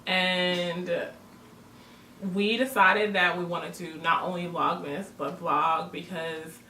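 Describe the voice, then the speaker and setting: A woman's voice: on the low side, fairly even intonation, takes it slowly, bright, forceful tone. Earnest woman addressing viewers. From born in Jamaica, raised in Toronto, Canada. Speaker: vlogger. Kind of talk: casual couple's chat to camera. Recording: roomy, distant mic with faint noise.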